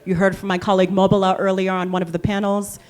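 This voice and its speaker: A woman with a Liberian accent moderating a panel discussion, briskly affirming and summarizing the speakers' answers. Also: medium pitch, little pitch movement, a little mellow.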